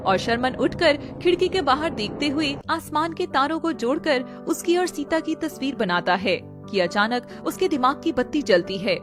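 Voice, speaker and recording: Woman doing dramatic story narration, pretty high voice, loud music behind. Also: lively intonation, normal speed, few pauses.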